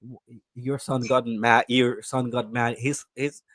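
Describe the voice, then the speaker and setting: A man's voice: medium pitch, flat delivery, a little slow, fairly bright tone, dry recording, clean studio sound. A man from Bangladesh chatting casually as a guest, talking animatedly with many stumbling restarts. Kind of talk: casual live-stream chat.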